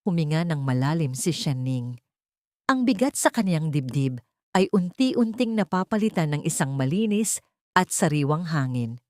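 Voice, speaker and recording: A woman storyteller narrates a dramatic audio story in a lower female register. Her intonation rises and falls widely, and the recording is dry and close. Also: animated, moderate pace, darkish tone, no echo.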